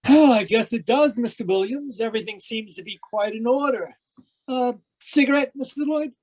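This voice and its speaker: An actor playing a calm, courteous police inspector in an old-time radio mystery, speaking with measured authority. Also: mid-range voice, close to an everyday voice, rough recording. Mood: methodical.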